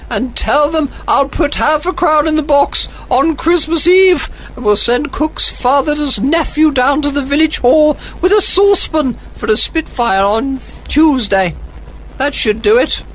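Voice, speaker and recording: An actress playing a haughty, sardonic British agony aunt in a 1940s radio comedy, with pompous, withering formality. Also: female voice, high-pitched, moderate pace, slight background noise, lightly acted, rough recording. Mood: dry, imperious.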